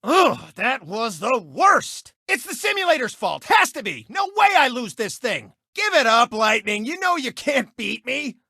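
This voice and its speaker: A gloating cartoon race car who hosts a sportscast in a video game. He is a smug, theatrical showman with a bright, brassy edge, and his pitch leaps around as he announces and taunts. The sound is close and dry. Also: big pitch swings, a little slow, creaky, hardly any pauses, husky, no echo, full character voice. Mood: frustrated. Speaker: sports show host and racer.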